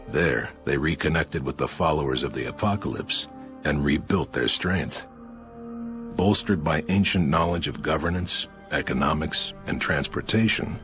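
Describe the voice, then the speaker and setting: A man playing a chronicler, reading scripted epilogue narration in a video game. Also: a bass voice. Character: deep-voiced male chronicler of a wasteland empire. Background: music.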